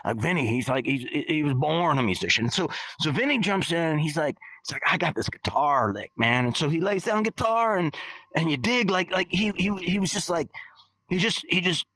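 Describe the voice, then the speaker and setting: A man's voice: a little high, animated, moderate pace, bright, forceful tone, dry recording, heavily acted, low-quality recording. A man playing a laid-back, centuries-old skeleton rocker who talks like a drawling slacker and says "man" constantly. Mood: chill. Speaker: bassist and singer in the guest band. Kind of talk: in-character storytelling. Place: virtual-world podcast, dry sound.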